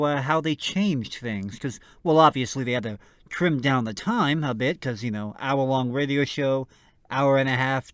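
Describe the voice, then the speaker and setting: A man's voice: medium pitch, no room sound. Hesitant male radio co-host, dry sound. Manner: hesitant, halting. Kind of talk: casual scripted banter and introduction.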